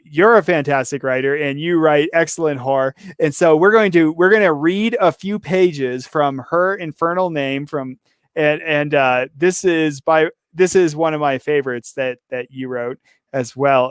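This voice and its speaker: A hoarse man hosting an interview, talking casually with many restarts and reading the narration of a story. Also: fairly high pitch, dry recording, clean studio sound. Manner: rambling, many restarts and fillers. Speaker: podcast host.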